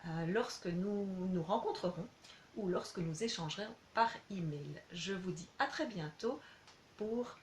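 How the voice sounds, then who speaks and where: A woman's voice: fairly low pitch, lively intonation, measured pace. Woman language teacher in an echoey room. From Belgium. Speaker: language teacher introducing herself. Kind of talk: informal self-presentation to prospective students. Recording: roomy echo, clear background noise.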